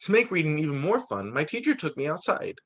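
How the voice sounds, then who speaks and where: A man reading a picture book aloud to children as a teacher, in an expressive, dramatizing way. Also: a little high, fast. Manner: expressive, dramatizing quoted lines.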